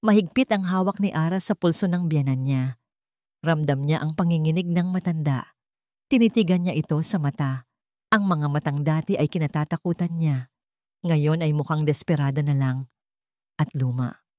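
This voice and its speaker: Woman audiobook narrator, slightly low voice, dry studio-like sound, dramatic story read. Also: normal speed, dark tone, dry recording.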